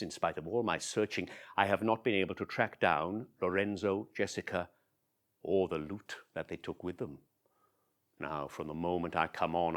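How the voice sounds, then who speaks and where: A man's voice: low-pitched, full character voice. A man playing a chatty, confiding friend who tells his story straight to the audience, with wry asides, in a one-man stage monologue.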